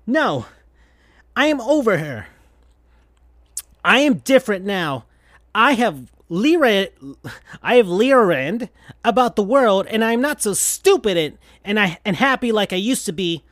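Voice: medium pitch, sing-song, slow, pauses often, no room sound, clean studio sound, voice pushed up. A reader putting on a sulky angry teen hedgehog. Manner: shouty, sulky.

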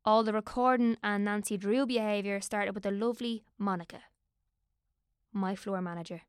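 A woman's voice: medium pitch, steady tone, moderate pace, clear, resonant tone, dry recording. A young woman narrating an audio drama in a wry, conspiratorial, sweary way.

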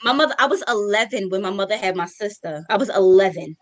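A woman's voice: mid-range voice, expressive, quick pace. A woman of thirty-eight co-hosting a call-in talk show, joking and emphatic in casual chat. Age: 30s. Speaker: radio show co-host.

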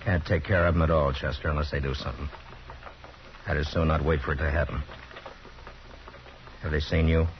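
An actor playing a calm, firm frontier marshal who also narrates, on an old 1950s radio drama. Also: male voice, very deep voice, a little fast, long pauses, a little hiss or hum. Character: stern, steady frontier marshal. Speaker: narrator and lead. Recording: dull 1950s radio broadcast.

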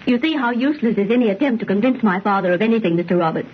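An actress playing a spirited, exasperated young daughter, proper and theatrical, in an old radio horror play. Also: female voice, mid-range voice, animated, rapid-fire, breathy edge. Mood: curious, exasperated. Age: young adult. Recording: dull, noisy old radio recording.

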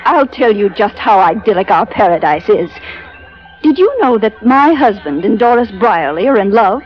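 Dull vintage radio recording of a drama. An actress plays a cool, scheming woman with a medium-pitched voice, poised and sly, with a biting edge as she bargains. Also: animated, normal speed, soft background music, lightly acted. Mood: cold, bitter. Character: cool, scheming wife bargaining with a sea captain. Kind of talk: old-time radio drama acting. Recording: muffled vintage radio broadcast.

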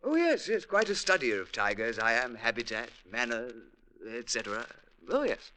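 A pompous British colonial major blusters through clipped, hesitant phrases. His voice swoops widely and cuts through bright and sharp, in classic radio drama style with faint noise behind. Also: medium pitch, big pitch swings, moderate pace. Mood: blustering. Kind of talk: old-time radio drama acting.

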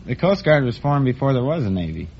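A man's voice: medium pitch, big pitch swings, quick pace, a crowd in the background. A man in his twenties, a quiz contestant giving brief, earnest answers. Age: 20s. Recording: dull old broadcast with background noise.

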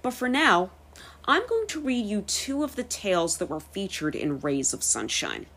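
A woman with an American accent hosting a podcast, reading scripted narration in careful, clear phrases. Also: mid-range voice, very animated, normal speed, fairly bright tone. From United States. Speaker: podcast host and storyteller.

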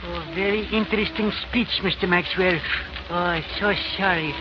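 Man acting a high-pitched, slyly polite Japanese fisherman. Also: steady tone, moderate pace, natural voice. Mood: falsely polite. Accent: put-on Japanese. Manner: clipped broken grammar, formally polite, unyielding. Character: stereotyped Japanese immigrant fisherman, evasive, falsely apologetic. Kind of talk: 1940s radio acting. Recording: dull, noisy 1940s radio recording.

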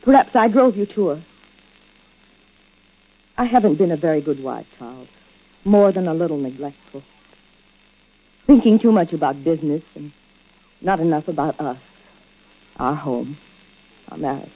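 Animated, proud wronged wife. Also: female voice, low-pitched, moderate pace, long pauses. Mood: composed, forgiving. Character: composed, proud, suspicious wronged wife in radio thriller. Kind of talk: 1940s-50s radio drama acting. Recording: dull vintage radio recording.

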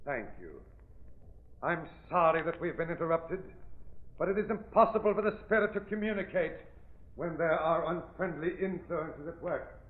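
An actor playing an indignant, pompous spiritualist medium in an old radio drama, speaking formally and accusingly. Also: male voice, on the high side, moderate pace, natural voice. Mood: stern, wounded. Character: indignant male spiritualist medium, commanding and theatrical. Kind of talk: 1940s radio drama acting. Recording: muffled, echoey old radio recording.

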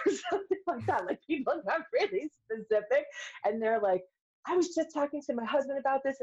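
A woman's voice: fairly high pitch, darkish tone. Woman on a podcast, dull lo-fi sound. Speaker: podcast guest, filmmaker and speaker. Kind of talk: candid podcast conversation, personal storytelling.

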